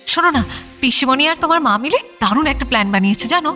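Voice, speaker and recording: A woman's voice: high voice, expressive, fast talker, sharp tone, soft background music. A woman playing a hopeful, affectionate young wife in a radio drama. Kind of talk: broadcast radio drama acting.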